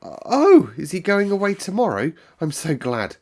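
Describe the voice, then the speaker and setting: A man's voice: high voice, huge pitch swings, unhurried, pitched above the performer's own voice, full character voice. A man reading aloud and voicing an anxious, hesitant little girl who stammers.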